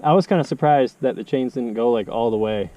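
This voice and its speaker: Calm guiding man on a night hike. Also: medium pitch, brisk. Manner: calm, reassuring, joking. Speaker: hiker guiding a friend. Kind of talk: casual chat. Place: outdoors on a rocky mountain trail at night.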